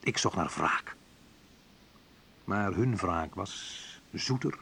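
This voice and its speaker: A man's voice: deep voice, expressive, extremely slow, gravelly, bright, forceful tone, a little hiss or hum, full character voice. An actor playing a wounded man who narrates his youth in a radio play, in short colloquial phrases. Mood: bitter, sardonic. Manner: colloquial, sardonic, clipped short sentences. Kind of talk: dramatised first-person narration, radio play.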